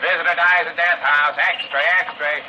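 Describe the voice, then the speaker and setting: An actor playing a newsboy crying headlines like a street hawker in a very noisy 1930s radio drama. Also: male voice, a little high, steady tone, a little slow, muffled recording, close to an everyday voice. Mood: brisk hawking. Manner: repetitive street-crier's calls. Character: newsboy hawking papers on the street.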